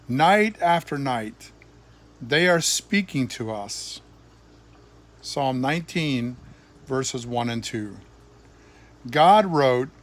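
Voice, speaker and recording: An older man, a grandfather, reading a children's Bible storybook aloud in an expressive way, with deliberate phrasing and emphatic pauses. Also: on the high side.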